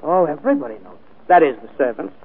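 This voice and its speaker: An American actor playing a sly, cheeky manservant and scheming brother in a 1940s radio drama, slangy and mock-deferential. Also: male voice, fairly high pitch, sing-song, fast talker, slight background noise. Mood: sly, needling. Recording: tinny, band-limited 1940s radio broadcast.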